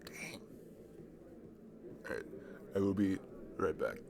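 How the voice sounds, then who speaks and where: A man plays a young college guy in an intimate audio roleplay, friendly and easily flustered. His low voice crackles with fry and swings expressively. He stammers and pokes fun at himself at a slow overall pace. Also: big pitch swings, exaggerated voice. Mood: relaxed, amused. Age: young adult. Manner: hesitant, stammering, self-deprecating. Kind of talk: naturalistic ASMR roleplay acting.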